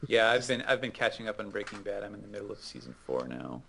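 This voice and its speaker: A man's voice: fairly low pitch, very animated, fast, creaky, a bit bright, slight background noise. A man talking casually as a co-host on a gaming show, chatting and giving game advice.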